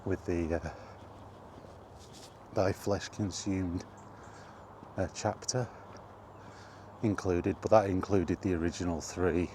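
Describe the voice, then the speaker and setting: A middle-aged man giving a casual, rambling chat as he walks outdoors, in an unhurried, offhand manner. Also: low-pitched, steady tone, darkish tone. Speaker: video game vlogger. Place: outdoors, walking toward a park. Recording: outdoor walking recording with background noise.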